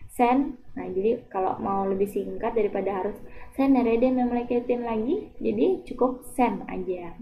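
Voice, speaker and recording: A woman language teacher patiently explaining Turkish phrases, with frequent hesitations and repetitions. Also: mid-range voice. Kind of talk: explaining a Turkish lesson in Indonesian. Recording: tinny phone-like audio.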